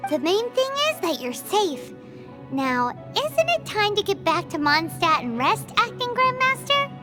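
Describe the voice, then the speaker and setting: A woman voicing a tiny, childlike companion in a video game, loyal, fussy and emphatic, with a squeaky voice. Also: very animated, unhurried, over music, exaggerated voice. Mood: relieved. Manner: blunt, emphatic, protective.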